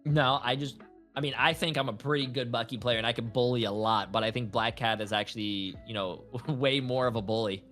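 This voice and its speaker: A man's voice: medium pitch, fairly even intonation, a little fast, fairly bright tone, faint music behind. A man in his early thirties chatting casually about gaming, loose and slangy, with frequent swearing. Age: early 30s. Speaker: guest player ranking game characters.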